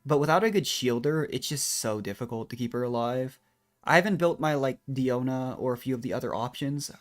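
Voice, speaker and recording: A man's voice: medium pitch, fairly quick. A man streaming a video game, giving casual, rambling and self-deprecating commentary as he plays.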